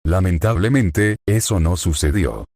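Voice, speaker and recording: Deep-voiced man reading a story. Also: a little slow, a little mellow, no room sound, studio quality. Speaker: fanfiction reader.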